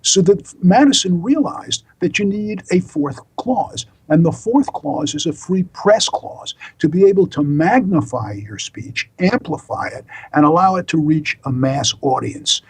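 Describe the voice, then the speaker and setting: Older American male academic guest: husky, fairly high voice, deliberate and emphatic, lecturing style. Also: lively intonation, poor audio. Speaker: law professor and author, interview guest. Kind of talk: explanatory interview talk, lecturing.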